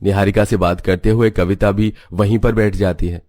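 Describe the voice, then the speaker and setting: Low-voiced man narrating a story. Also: fairly even intonation, normal speed, darkish tone. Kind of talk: scripted cartoon story narration. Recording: music behind the voice.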